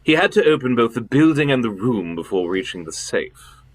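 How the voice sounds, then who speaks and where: A man acting a weighty, authoritative gentleman in a radio play, urging and commanding. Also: medium pitch, sing-song, moderate pace, husky. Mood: measured, challenging. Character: weighty, authoritative gentleman, urgent elder brother of detective.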